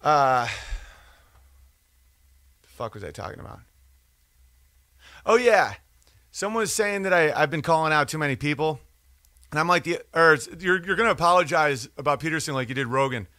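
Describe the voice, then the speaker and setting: A man's voice: on the high side, expressive, normal speed, pauses often, sharp tone, dry recording, studio quality. A man hosting a podcast, talking in a casual, digressive, profane monologue as he reads out and answers comments. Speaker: podcast or livestream host.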